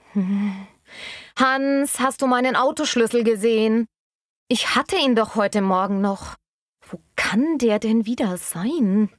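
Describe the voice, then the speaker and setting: A woman's voice: a little high, no room sound, studio quality. A woman playing a flustered, irritated wife in an acted language-learning dialogue. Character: flustered, irritated wife hunting for lost keys.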